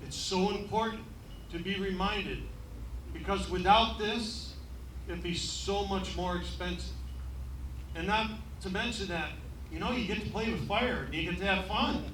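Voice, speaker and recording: A man emceeing a ceremony, joking at his own expense and pausing often on fillers. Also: high voice, fairly bright tone, a little hiss or hum. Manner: joking, self-deprecating, halting with many fillers. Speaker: event emcee. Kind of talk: ceremony speech with jokes. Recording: echoey room, voice from far off.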